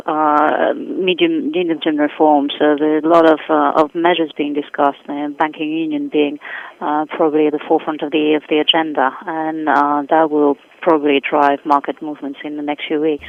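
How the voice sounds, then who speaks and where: A woman's voice: deep voice, no room sound. A woman speaking by telephone as an economic expert, giving spontaneous commentary in a hesitant way, repeating words as she reasons. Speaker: senior economic advisor, interview guest. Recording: dull, muffled phone line.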